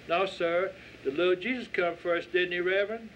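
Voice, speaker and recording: A man's voice: high-pitched, steady tone, moderate pace, fairly bright tone, voice pushed up. A man voicing an earnest little girl from the rural South who corrects others and appeals to her elders, in a story reading. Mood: earnest, contradicting. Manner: pleading, corrective, folksy. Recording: dull lo-fi audio with faint noise.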